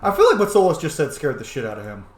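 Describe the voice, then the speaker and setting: A man's voice: a little high, wildly swooping pitch, racing, darkish tone. A man giving casual, jokey roleplay commentary as he streams a game, swearing freely. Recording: roomy, echoing sound.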